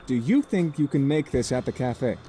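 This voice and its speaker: A man's voice: mid-range voice, animated, moderate pace, dark tone, a little hiss or hum, lightly acted. A man voicing an easygoing, earnest, food-loving uncle in a video game. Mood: impressed. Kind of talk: scripted game dialogue.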